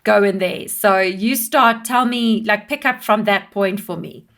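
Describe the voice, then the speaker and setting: A woman's voice: mid-range voice, unhurried, fairly bright tone. A woman hosting an interview podcast, prompting her guest in an encouraging, conversational way. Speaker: podcast host.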